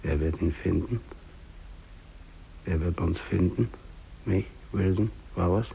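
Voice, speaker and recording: Slow, creaky-voiced dying polar explorer. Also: male voice, low voice, mellow, heavily acted, rough recording. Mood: weary, resigned. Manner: halting, broken phrases with long pauses. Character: dying male English polar explorer, lonely, resigned, exhausted. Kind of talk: 1950s German radio drama monologue. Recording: hissy old radio recording.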